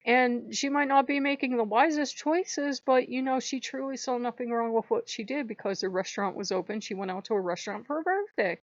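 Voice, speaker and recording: A slightly hoarse woman hosting her own channel, talking in an earnest, rambling way and repeating phrases for emphasis. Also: on the high side, a little fast, few pauses, no room sound, highs cut off. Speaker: channel host. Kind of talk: casual spiritual vlog monologue.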